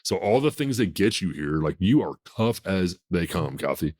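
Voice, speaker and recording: Brief: deep-voiced male podcast host in his fifties, very low, blunt and commanding. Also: sing-song, normal speed, a little mellow. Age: 50s. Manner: blunt, emphatic, self-assured. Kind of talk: casual podcast conversation.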